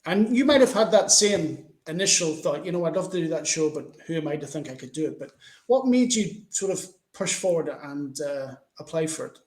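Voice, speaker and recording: Higher-voiced male interviewer, echoey room. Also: expressive, fairly quick. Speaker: podcast host, interviewer. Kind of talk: conversational interview. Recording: echoey, roomy sound.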